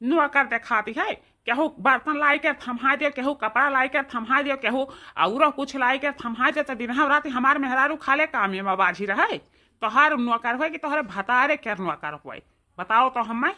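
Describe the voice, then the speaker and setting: A woman's voice: high-pitched, normal speed, bright, forceful tone. A woman playing an indignant young village husband in a comedy cartoon, confrontational and emphatic, with an eastern Uttar Pradesh accent.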